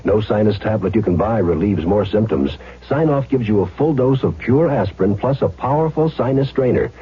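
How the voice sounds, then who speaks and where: A man's voice: on the low side, lively intonation, normal speed, husky. A man reading a scripted 1970s radio commercial as an announcer. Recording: muffled 1970s radio recording.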